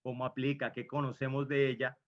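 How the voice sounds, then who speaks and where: A man's voice: mid-range voice, flat delivery, fairly quick. A man from Colombia moderating a student group presentation in a formal manner, handing turns to the others. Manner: formal, leads the discussion and hands over turns. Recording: dull lo-fi mic.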